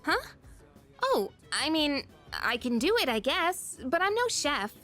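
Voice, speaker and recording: Practical teen girl, high bright-pitched voice, teasing, casual game acting, faint music. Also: big pitch swings, a little slow, exaggerated voice. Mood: casual, blunt. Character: sassy, practical teenage girl.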